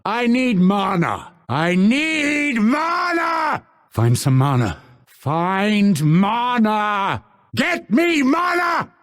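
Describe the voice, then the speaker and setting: A cold, proud video game assassin, speaks in a gravelly, husky male voice. The delivery is slow and theatrical, with clipped, disdainful phrases that swing widely in pitch. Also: big pitch swings, darkish tone, exaggerated voice. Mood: frustrated, urgent. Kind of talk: video game character voice lines.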